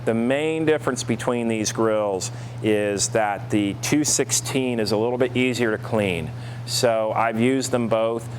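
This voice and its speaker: A man giving a casual product review as store staff, explaining informally with hesitant pauses. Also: medium pitch. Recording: noticeable background hum and noise.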